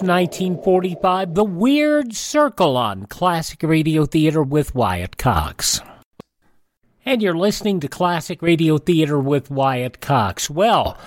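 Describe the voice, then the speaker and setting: A man's voice: on the high side, animated, a little slow, no echo, studio quality. A man presenting a radio show in scripted announcer style.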